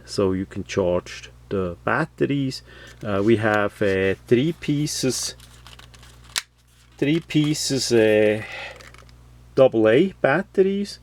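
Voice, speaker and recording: A man hosts a casual, unscripted product demonstration in a fairly low voice. He speaks very slowly, explaining one item after another in a halting way, with frequent fillers and repeated words. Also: darkish tone. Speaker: host of product unboxing videos.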